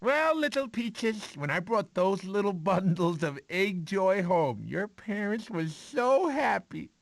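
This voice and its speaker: Goofy, doting sloth with swooping pitch. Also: male voice, sing-song, takes it slowly, creaky, no echo, heavily acted. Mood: proud, doting. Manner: rambling, fussy, doting storyteller. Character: goofy, sentimental male sloth uncle telling baby a story. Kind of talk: animated film character narration.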